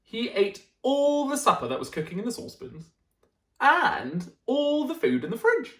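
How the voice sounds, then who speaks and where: A man reads a picture book to children in a highly varied, expressive voice. He stresses key words dramatically and adds little asides to his listeners. The room gives the sound an audible echo. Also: normal speed, slight background noise. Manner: animated, dramatic emphasis with friendly asides to listeners. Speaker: storyteller reading bedtime stories to children.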